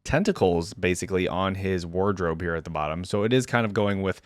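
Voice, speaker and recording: Low-pitched man giving casual reaction commentary. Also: a little fast, clean tone, dry recording, clean studio sound. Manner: informal, conversational, thinking aloud. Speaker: video game reaction host.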